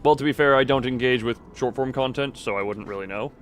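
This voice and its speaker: Man rambling casually over background noise. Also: medium pitch. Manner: rambling, halting, thinking aloud. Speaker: livestream host. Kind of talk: casual chat while playing a horror game live.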